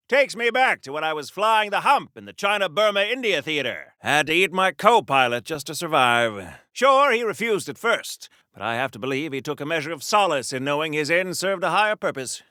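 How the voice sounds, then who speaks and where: An actor voicing a brash, rambling old-time American soldier-hero in a video game, hammy and chatty, with old-fashioned slang in a 1940s radio-serial style. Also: male voice, a little high, sharp tone, no echo, clean studio sound.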